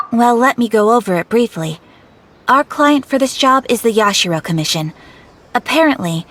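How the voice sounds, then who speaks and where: A woman playing a polite, businesslike guild receptionist in a video game, speaking in a formal, earnest, measured way. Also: a little high, slight background noise, lightly acted. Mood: courteous. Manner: formal, courteous, measured briefing.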